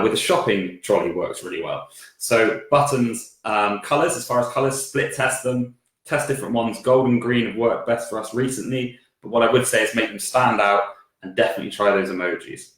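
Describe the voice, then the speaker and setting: A man with a croaky voice answering viewer questions on a live stream, hesitant with lots of fillers and restarts. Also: lowish voice, fairly bright tone. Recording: very echoey room.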